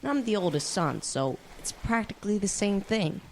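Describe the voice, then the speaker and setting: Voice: medium pitch, animated, moderate pace, vocal fry. A young performer playing a bold, scheming teenage boy who leads a gang of kids in a radio drama, persuasive and informal. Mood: confident. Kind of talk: youth radio drama acting. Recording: noticeable background noise.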